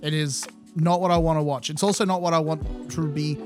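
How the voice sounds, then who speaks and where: Casting: male co-host, voice a little higher, casual and thoughtful, light music in the background. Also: steady tone, brisk. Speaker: podcast co-host. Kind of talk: casual chat.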